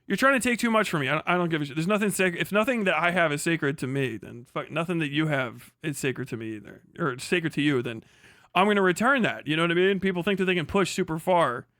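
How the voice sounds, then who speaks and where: High, sharp-voiced ranting man. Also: animated, fast talker, dry recording, clean studio sound. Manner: heated, profane, ranting with frequent laughs. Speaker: streamer host. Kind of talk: profane live-stream reaction rant.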